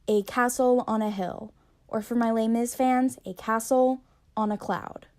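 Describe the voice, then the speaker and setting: A woman's voice: on the high side, steady tone, unhurried, darkish tone. A young woman narrating her travel vlog in a wry, self-deprecating voice-over. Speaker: travel vlogger.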